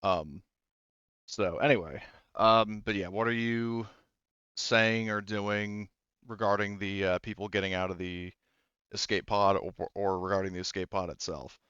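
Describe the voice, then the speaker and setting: A man improvises narration as the game master of a tabletop roleplaying game. His voice is smooth and on the low side, and he rambles haltingly, thinking aloud. The recording is dry and slightly dull. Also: steady tone, a bit bright, no echo.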